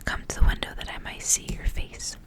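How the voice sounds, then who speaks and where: A woman's voice: fairly quick, lightly acted. Woman whispering as an ardent fairy-tale prince. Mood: entreating. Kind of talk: whispered ASMR storybook reading.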